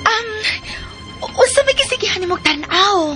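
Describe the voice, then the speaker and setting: A woman's voice: very high voice, lively intonation, normal speed, sharp tone, full character voice. An actress with a Filipino Cebuano accent playing a spiteful, scheming woman who menaces a child in a radio drama. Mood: menacing. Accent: Filipino, Cebuano-speaking. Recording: music bed under radio drama voice.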